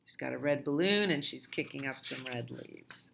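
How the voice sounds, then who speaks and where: A woman reading a picture book aloud to young children in an expressive, unhurried way, acting out the dialogue. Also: extremely low pitch, gravelly, slight background noise. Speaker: children's storytime reader.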